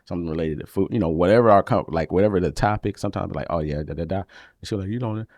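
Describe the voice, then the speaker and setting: A man with a deep, carrying voice co-hosting a casual podcast chat, animated and expressive, often restarting his sentences. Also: rapid-fire, no echo, clean studio sound. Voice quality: deep voice that carries. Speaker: podcast co-host. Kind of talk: casual chat about marriage.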